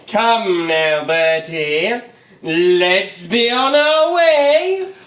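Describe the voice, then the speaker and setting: An actor playing a jaunty, plummy gentleman in a comic radio double act. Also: high voice, animated, extremely slow, exaggerated voice. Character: jaunty posh English gentleman comic, Eric. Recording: echoey theatre stage.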